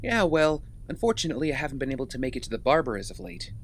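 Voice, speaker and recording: A man's voice: fairly high pitch, very animated, racing, vocal fry, a little hiss or hum. A man playing a brash, wry young American castaway and vigilante in an audio drama. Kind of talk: audio drama acting and narration.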